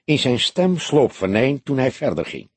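Husky, expressive male audiobook narrator. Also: medium pitch, dry recording. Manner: expressive, deliberate storytelling. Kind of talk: scripted literary narration of a detective novel.

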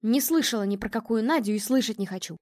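A woman's voice: on the high side, fast talker, a little mellow, no room sound, studio quality, lightly acted. A woman voicing a domineering, snobbish mother in a story, cutting, sarcastic and commanding. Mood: icy sarcasm.

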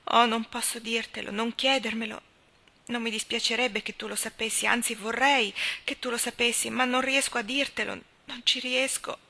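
A woman's voice: mid-range voice, fairly even intonation, a little fast, pushed and bright. A woman reading a story as an anguished, restless young woman who speaks in vehement, exclaiming bursts. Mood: anguished, ashamed. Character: anguished young woman confessing a hopeless secret love.

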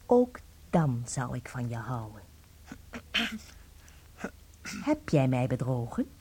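A woman playing a devoted wife in a radio play, dramatic and intimate, swinging between tenderness and cold bitterness in a husky voice. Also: very deep voice, very animated, slow pace, darkish tone, exaggerated voice. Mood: cold, hurt.

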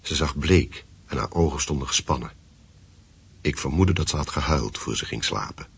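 First person radio drama narrator, super deep n husky, sober, observant. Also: male voice, moderate pace, full character voice. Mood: reflective. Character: reflective, observant male narrator recounting his own investigation.

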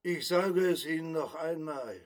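A man playing a self-important, indignant small-town mayor in a children's audio drama, pompous and emphatic, with a raspy voice. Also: fairly high pitch, a little slow, close to an everyday voice. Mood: outraged, demanding.

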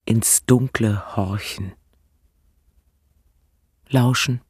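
An actress playing a brooding, searching writer who wrestles with words and doubt, speaking in halting, reflective phrases in a poetic radio play. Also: female voice, a bass voice, steady tone, darkish tone, slightly rough, no echo, studio quality, full character voice. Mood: searching, hushed.

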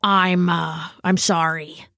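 A woman's voice: fairly low pitch, level tone, takes it slowly, vocal fry, pushed and bright, no echo, clean studio sound, pitched below the performer's own voice, heavily acted. A woman voicing a humble, earnest old male dog in a storybook, speaking hesitantly and sincerely. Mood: sheepish. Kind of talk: storybook character voice.

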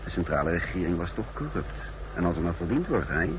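Casting: brooding spy, low voice, measured monologue, noisy vintage radio recording. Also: male voice, big pitch swings. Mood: detached, scornful. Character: secretive, scornful male spy recounting his mission. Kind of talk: 1960s radio drama monologue and narration.

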